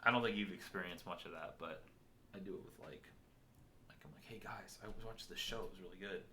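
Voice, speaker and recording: A man with a loud voice in casual, joking podcast chat, rambling and poking fun at himself with crude humor. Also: a little fast, noisy background. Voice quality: loud, booming voice. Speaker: podcast host.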